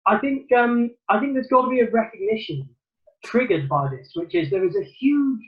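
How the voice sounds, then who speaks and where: A man's voice: high-pitched, lively intonation, normal speed, dull audio. A man from Newcastle giving thoughtful, earnest answers as a theatre producer in a remote interview, with many ums and restarts. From Newcastle, England.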